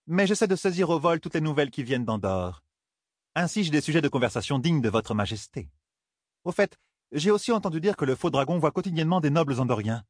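Spiteful noblewoman, played by a man, raised pitch, husky, falsely courteous, sly, audiobook dialogue. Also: no echo, clean studio sound. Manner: pointed, falsely courteous needling. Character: spiteful, sly noblewoman, insolently deferential to her queen.

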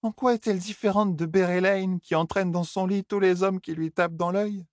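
A man's voice: steady tone, brisk, no room sound, studio quality, close to an everyday voice. Man voicing a drunk, petulant young heiress. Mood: indignant. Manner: high, slurred pleading and indignant outbursts.

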